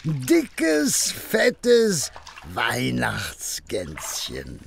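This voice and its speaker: A man's voice: mid-range voice, huge pitch swings, very slow, creaky, background noise, full character voice. An actor in a German radio play voicing a good-natured, tipsy working-class husband with a Berlin accent, rambling and slurring as he wheedles. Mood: coaxing.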